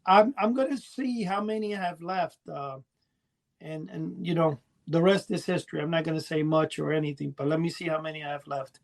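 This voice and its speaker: A man with a distinctly high voice talks as a podcast co-host. He explains things in a casual, meandering way, often backing up to correct his own words. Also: steady tone, a little fast, creaky. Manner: rambling, self-correcting.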